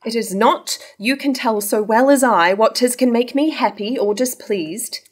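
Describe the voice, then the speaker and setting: Young woman reading a proud nobleman, high voice. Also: normal speed, close to an everyday voice. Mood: firm, devoted. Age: young adult. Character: proud, honourable young nobleman, devoted lover. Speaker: actor in a staged reading. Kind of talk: formal verse drama reading. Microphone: stand mic at lectern.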